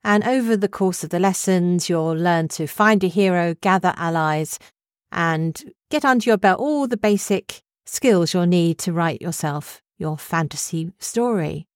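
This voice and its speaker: A woman's voice: fairly low pitch, measured pace. A woman speaking as a podcast host, introducing and interviewing in an articulate, explanatory way.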